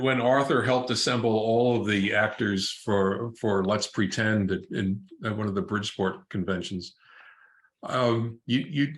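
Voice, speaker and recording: A man's voice: mid-range voice, fairly bright tone, roomy sound. An older man reminiscing casually on a video call, often repeating words as he gathers his thoughts. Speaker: longtime convention attendee.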